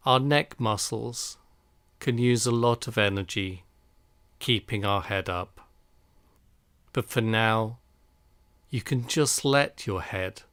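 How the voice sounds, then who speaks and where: A man guiding a relaxation meditation in a calm, soothing, unhurried manner. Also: fairly low pitch, no room sound. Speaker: meditation guide.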